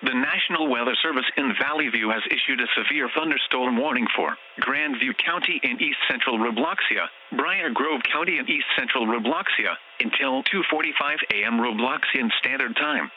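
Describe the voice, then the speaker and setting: A man's synthetic voice reading a scripted emergency weather warning in a formal, measured way, as an automated alert announcer. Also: mid-range voice. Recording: muffled lo-fi broadcast, clear background noise.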